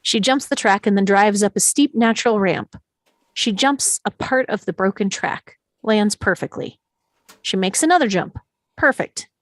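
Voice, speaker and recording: A woman narrates stage directions for a script read. Her voice sits at a medium pitch, and the delivery is that of scripted narration. The sound is very dry and close. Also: animated, normal speed, no room sound.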